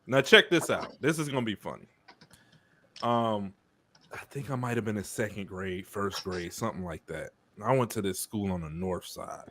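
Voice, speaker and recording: A man's voice: fairly low pitch, normal speed, a bit bright, a little hiss or hum. A man in his forties from Chicago, co-hosting a podcast, joking and telling stories in casual chat. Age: 40s. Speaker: podcast co-host.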